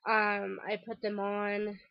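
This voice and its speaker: A woman's voice: medium pitch, monotone, slow pace, vocal fry, no echo. Casual vlogger, woman, congested and stuffy, chatty, dry and dull home-camera sound. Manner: chatty and rambling, frequent ums and restarts. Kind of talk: casual video diary update. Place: covered back deck outdoors.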